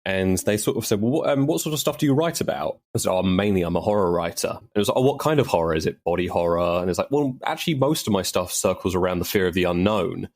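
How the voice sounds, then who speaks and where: A man's voice: deep voice, lively intonation, quick pace, hardly any pauses. A man, a horror writer, talking reflectively in an interview with thoughtful, hedging phrasing.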